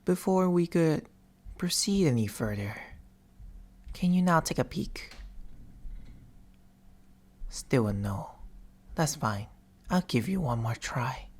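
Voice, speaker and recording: A man playing a soft-spoken, teasing sleep paralysis demon in an ASMR roleplay, speaking breathily and often whispering, with slow, theatrical intimacy close to the mic. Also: on the high side, expressive, husky, heavily acted. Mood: playful, teasing. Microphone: close binaural microphone.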